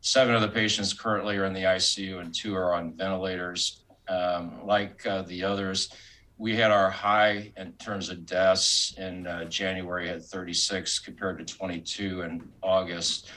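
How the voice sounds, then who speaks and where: A man speaking as a hospital medical officer, giving a measured, formal and matter-of-fact status report. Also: deep voice, little pitch movement, pushed and bright. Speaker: hospital chief clinical officer.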